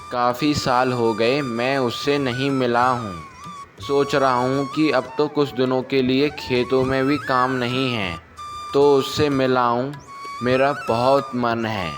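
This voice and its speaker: A kind, sentimental farmer from a village played by a male storyteller speaks earnestly and plainly. The delivery is slow, as in a cartoon moral tale, with clear music behind. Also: medium pitch, natural voice. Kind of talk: animated moral story dialogue.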